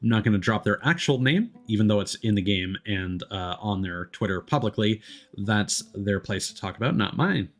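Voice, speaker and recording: Low-pitched man chatting on a game stream. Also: expressive, normal speed. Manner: hesitant, digressive, replying to viewers. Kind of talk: casual live-stream chat.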